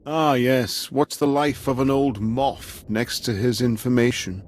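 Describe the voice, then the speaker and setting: A man playing an aging, courteous Imperial officer in a video game, speaking in a formal, measured way. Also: mid-range voice, fairly even intonation, slight background noise, close to an everyday voice. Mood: formal, guarded. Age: older.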